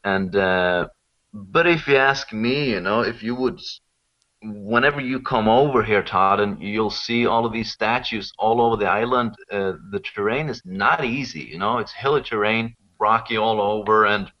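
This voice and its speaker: A man's voice: lowish voice, normal speed. A man from Sweden, explaining things informally and conversationally as an interview guest. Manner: informal, explanatory, often checking with "you know". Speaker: interview guest, local expert on Rapa Nui. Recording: dull, lo-fi call-like audio.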